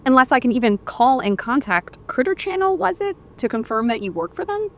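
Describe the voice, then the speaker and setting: A woman's voice: high voice, very animated, fairly quick. A woman playing a firm, impatient member of school staff with a no-nonsense manner. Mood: stern, impatient.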